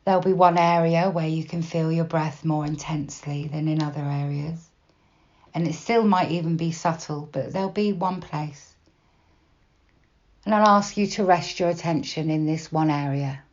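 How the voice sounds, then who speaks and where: A woman guiding a meditation in a slow, soft, unhurried manner with long pauses between phrases. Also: deep voice, fairly even intonation, dull audio. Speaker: meditation guide. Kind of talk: guided body scan meditation, gentle instruction.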